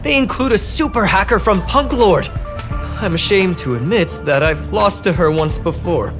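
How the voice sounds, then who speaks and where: A nervous, self-doubting space station engineer for a video game. His pitch jumps around in an animated way, and he sounds earnest and hesitant. Music plays clearly behind his voice. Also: normal speed. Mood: rueful. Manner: hesitant, stammering, earnest and excitable. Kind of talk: expressive video game dialogue acting. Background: background music.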